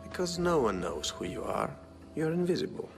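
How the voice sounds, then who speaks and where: A man playing a smooth-talking, calculating rich patron in a video game cutscene, measured and coolly condescending. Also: fairly high pitch, big pitch swings. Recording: long reverb, background music.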